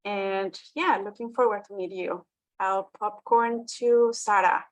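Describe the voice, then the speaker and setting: Woman from Mexico, casual self-introduction, halting with fillers, dry sound, video call. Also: medium pitch, fairly even intonation, no echo, dull audio. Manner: hesitant, with many fillers.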